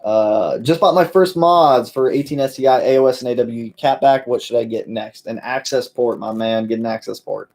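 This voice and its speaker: Brief: male car-channel host, relaxed live Q&A, filler-heavy and friendly, mid-range voice. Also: mid-range voice, normal speed, few pauses. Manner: laid-back, many fillers, calls viewers "my man". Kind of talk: casual live-stream Q&A.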